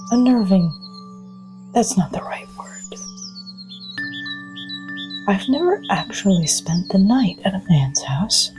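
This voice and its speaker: An actress playing a wild, wary young woman, speaking a reflective, hushed and halting monologue in an intimate urban fantasy audio drama. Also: medium pitch, animated, music in the background. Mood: musing, unsettled.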